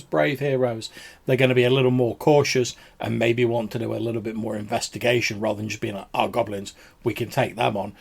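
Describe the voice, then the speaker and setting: A man's voice: lowish voice, creaky, slightly rough. A man hosting a tabletop gaming podcast, answering listener voicemails in a rambling, casual way full of "sort of" and "like". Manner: rambling, many fillers like "sort of". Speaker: podcast host.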